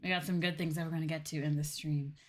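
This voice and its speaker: A woman's voice: low voice, little pitch movement, quick pace. A woman livestream host chatting casually to her viewers in an informal, gushing way. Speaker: game livestream host, VTuber.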